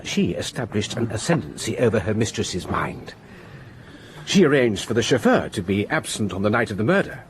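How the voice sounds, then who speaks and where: An actor playing a methodical gentleman who lays out the facts of a case in a measured, lecturing way. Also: medium pitch, a little hiss or hum, close to an everyday voice. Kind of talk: radio drama acting.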